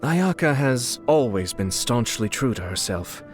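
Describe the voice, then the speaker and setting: A man voicing a refined, suave young nobleman and clan head in a video game, coolly shrewd, with polished, measured, formal diction. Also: mid-range voice, very animated. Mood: composed, earnest. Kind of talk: scripted video game character dialogue. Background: background music.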